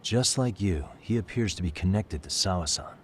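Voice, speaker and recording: An actor playing a composed, persistent private detective, calmly and politely probing in a video game cutscene. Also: male voice, low-pitched, slight background noise. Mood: probing, measured. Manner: calm, measured, politely probing questioning. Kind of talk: English-dubbed video game cutscene acting.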